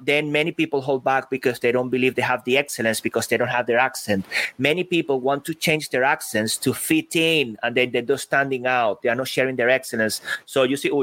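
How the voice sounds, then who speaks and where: Energetic man with a Spanish-speaker's accent. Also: mid-range voice, dry recording. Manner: energetic, emphatic, self-interrupting. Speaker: guest, speaking coach. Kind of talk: podcast interview.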